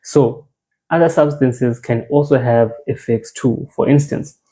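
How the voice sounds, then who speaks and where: A man's voice: medium pitch, measured pace, warm tone, poor audio. A young South African man giving an informal men's health talk, conversational, repeating phrases and restarting words.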